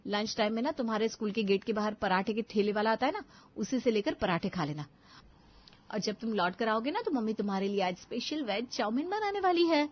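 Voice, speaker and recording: A woman's voice: a little high, expressive, a little fast. A woman playing a crafty, coaxing elder daughter-in-law and young mother in a cartoon. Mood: reassuring. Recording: dull lo-fi audio.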